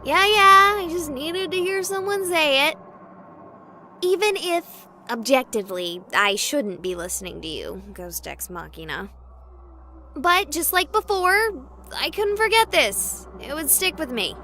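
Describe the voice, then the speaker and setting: A woman playing an excitable, jokey young streamer in a haunted hospital video game, expressive and rambling. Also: high voice, a little slow, a little hiss or hum, full character voice. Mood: nervous, joking. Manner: expressive, rambling, wisecracking to an unseen audience.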